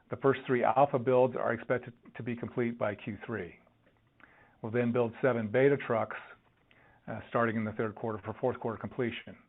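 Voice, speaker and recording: A man reading a scripted company update on an earnings call, presenting as an executive over a narrow phone line. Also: on the low side.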